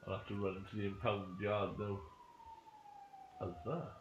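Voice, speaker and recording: A man's voice: lowish voice, fairly even intonation, normal speed. A man chatting casually and jokily while playing an online role-play game, swearing freely. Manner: jokey, sweary, repeats catchphrases. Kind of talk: casual gaming chat with banter. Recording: muffled, faintly noisy, echoey room.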